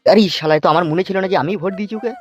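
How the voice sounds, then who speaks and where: Man playing fast-talking aggrieved poor village father. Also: high-pitched, lo-fi sound, natural voice. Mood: exasperated. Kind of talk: comic cartoon dialogue in rural dialect.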